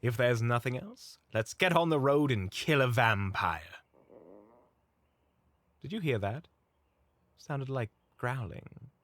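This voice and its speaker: A man's voice: medium pitch, animated, slow pace, pauses often, a bit bright, voice pushed up. Man acting a courteous, methodical hunter leader. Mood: calm resolve. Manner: polite, precise, methodical. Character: courteous, measured male hunter leader, calm and caring. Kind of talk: audio roleplay acting addressed to the listener.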